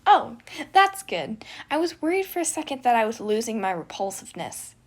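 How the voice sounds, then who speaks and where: A woman voicing a brash, mischievous young man, a crude and chaotic twin brother who exclaims and teases loudly in an audio story. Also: on the high side, very animated, normal speed. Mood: gleefully crude. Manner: loud, exclaiming, teasing.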